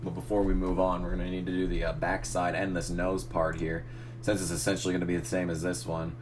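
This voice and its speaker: Young man, low voice going hoarse, casual self-narrating editor who swears at the screen. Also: level tone, fast, background noise. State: losing his voice. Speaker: gaming channel host. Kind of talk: casual tutorial commentary while editing.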